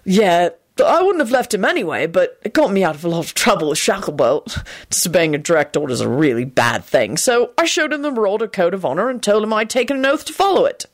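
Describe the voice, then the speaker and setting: A woman's voice: mid-range voice, big pitch swings, fast talker. A woman voicing a scornful, foul-mouthed young male prankster wizard in a story reading. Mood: amused, knowing. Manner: scornful, mocking, taunting.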